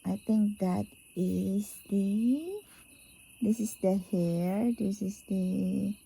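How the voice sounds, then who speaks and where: A woman's voice: medium pitch, very slow, creaky, dark tone, husky, rough recording. A woman reading a children's picture book aloud expressively, drawing out key words in a sing-song way. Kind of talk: expressive storybook read-aloud for young children.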